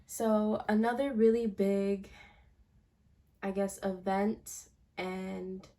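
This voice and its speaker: A young woman walks through her slides in a student presentation, her voice mellow and soft-edged. She talks casually, pausing and restarting now and then as she explains. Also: medium pitch, little pitch movement. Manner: casual, slightly halting delivery with fillers. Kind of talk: class presentation over slides.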